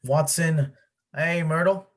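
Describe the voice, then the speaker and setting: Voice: medium pitch, slow pace, lightly acted. An amateur actor playing a folksy, businesslike village man in charge, with a hesitant manner. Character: folksy, businesslike male village official running the lottery. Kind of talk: amateur one-act play acting. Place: filmed on a video call.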